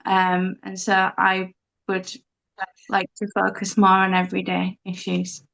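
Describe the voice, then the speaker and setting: Woman candidate, earnest, pauses a lot, flat delivery, mid pitch. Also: vocal fry, muffled recording. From Leeds, England. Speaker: council candidate. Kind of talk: speaking at a public meeting.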